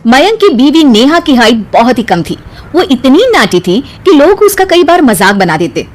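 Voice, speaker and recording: A woman narrating a cartoon moral story in a lively storytelling manner. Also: sits high, moderate pace.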